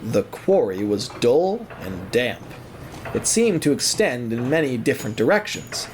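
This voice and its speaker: Animated male storyteller, lively pitch. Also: a little slow, slight background noise. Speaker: narrator. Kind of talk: dramatic scripted storybook narration.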